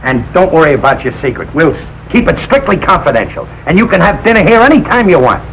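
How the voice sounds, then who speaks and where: An actor playing a blustering, good-hearted Brooklyn working-class husband, blunt and slangy, in old broadcast sitcom style. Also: male voice, a little high, sing-song, fast talker, a bit bright. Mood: jovial, excited. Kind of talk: 1940s-50s broadcast sitcom acting. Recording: noisy old broadcast recording.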